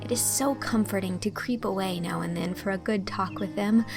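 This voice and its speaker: A woman's voice: medium pitch, moderate pace, creaky, over music, natural voice. A woman voicing a spirited, dreamy young college student in an audio drama, speaking expressively and earnestly.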